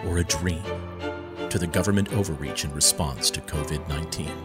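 A man giving a solemn, deliberate dramatic recitation in the style of a poetry reading, with emphatic pauses. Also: extremely low pitch. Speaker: poetry reader. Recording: close dry voice over background music. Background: music.

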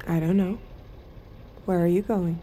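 Enigmatic young escort for an audio drama female actor: muted, dusky tone, soft and sparse, naive yet dangerous. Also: fairly low pitch, fairly even intonation, natural voice. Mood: reserved, curious. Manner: soft, measured, sparse short replies. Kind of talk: first-person audio drama narration and dialogue.

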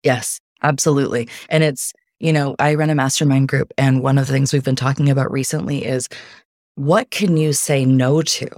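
Calm, soothing woman guest. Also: a bass voice, moderate pace, no echo, clean studio sound. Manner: soft, calming, easy delivery. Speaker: guest, life coach. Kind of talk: casual interview chat.

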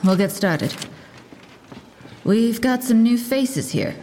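An actress playing a brisk, sardonic police lieutenant giving a guarded press briefing in an audio drama. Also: female voice, on the high side, sing-song, a little mellow, slight background noise, full character voice. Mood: businesslike.

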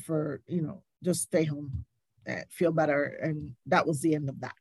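A woman's voice: sits low, steady tone, moderate pace, a little mellow, breathy edge, no echo. A woman speaking as a nurse guest in a candid interview, emphatic and indignant, repeating points for stress. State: recovering from covid, stuffy.

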